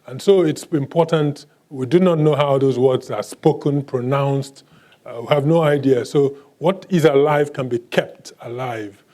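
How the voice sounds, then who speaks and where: A man whose first language is Igbo, answering questions at a book talk in a mellow, dark-toned voice. He speaks slowly and haltingly, with many restarts. Now and then he adds a bit of dry, self-mocking humor. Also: medium pitch. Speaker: author.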